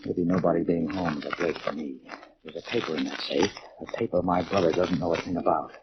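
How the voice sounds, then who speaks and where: A desperate American man played by an actor, earnest and insistent in the manner of 1940s radio drama. His voice is fairly low. It comes through a dull old recording with clear noise. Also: fairly even intonation, quick pace, natural voice. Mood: hopeful, secretive. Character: desperate, wronged man trying to prove his identity.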